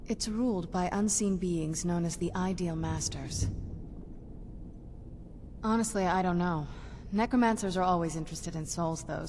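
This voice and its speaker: A woman playing a young female vampire in a video game, earnest and guarded, speaking in a measured, softly intense way. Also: lowish voice, slight background noise, close to an everyday voice. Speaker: game companion character.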